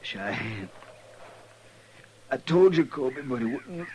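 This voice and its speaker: An actor playing a frightened, badly wounded gunman in an American radio western, halting and strained. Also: medium pitch, expressive, a little mellow. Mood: pained, frightened. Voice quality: weak, strained. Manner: halting, stammering, rustic. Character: badly wounded frightened Old West hired gunman, fading. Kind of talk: 1950s radio western acting. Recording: noisy 1950s radio recording.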